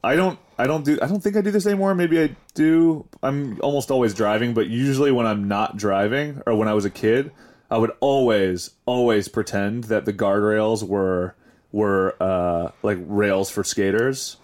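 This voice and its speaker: A man with a fairly low voice hosts a relaxed talk podcast. He speaks informally, in the loose style of chatting among friends or reading out stories to them. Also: normal speed. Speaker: podcast host. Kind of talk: casual chat, reading listener confessions aloud.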